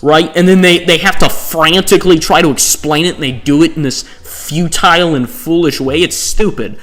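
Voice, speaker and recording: A man's voice: fairly high pitch, fairly even intonation, moderate pace, fairly bright tone. A man giving casual, rambling commentary as a host, with an exasperated, hyperbolic way of ranting. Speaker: host ranking visual novel protagonists.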